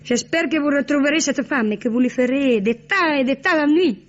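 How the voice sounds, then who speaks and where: An actress playing a flamboyant, dramatic Argentine cabaret singer who is quick to anger, in an old radio drama. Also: female voice, high voice, slight background noise, close to an everyday voice. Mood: fiery. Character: fiery, theatrical Argentine cabaret singer, female. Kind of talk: 1950s radio drama acting. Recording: dull old radio broadcast.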